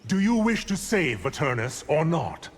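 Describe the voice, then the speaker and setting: An actor playing an arrogant, scheming, cold sorcerer villain in a video game, with a haughty, theatrical, menacing delivery. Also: male voice, on the high side, very animated, moderate pace, husky, big-room sound.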